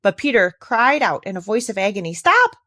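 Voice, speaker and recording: A woman's voice: medium pitch, animated, a little fast, a bit bright, no echo, clean studio sound. A woman reading a children's classic aloud as a scripted storybook narrator.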